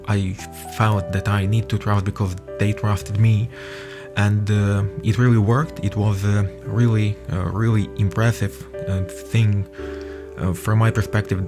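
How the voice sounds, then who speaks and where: A young man with a fairly low voice hosts a personal podcast. He talks in a halting way, pausing often to search for his words, while soft music plays faintly behind him. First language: Russian. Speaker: podcast host. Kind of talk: personal reflective monologue.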